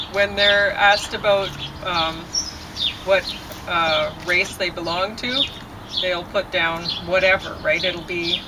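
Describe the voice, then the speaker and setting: Calm woman chatting over noisy birdsong. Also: fairly low pitch. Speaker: vlog host. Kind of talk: casual chat.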